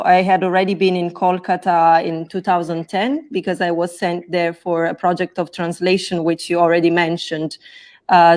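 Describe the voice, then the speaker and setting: A woman's voice: fairly low pitch, a little mellow. A woman from Italy with an Italian accent, explaining her academic path carefully as a guest on a video call. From Naples, Italy. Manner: careful, explanatory, restarts to rephrase. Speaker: scholar, guest. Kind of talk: explaining in an interview.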